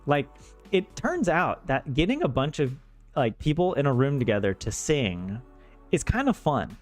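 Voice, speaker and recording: A man from the American South talks off the cuff to his stream with lively, swooping intonation. His pitch sits in the middle of the male range, and he rambles with dry self-deprecating jokes. Music plays softly behind him. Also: normal speed. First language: English. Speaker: streamer learning Finnish. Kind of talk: casual livestream chat.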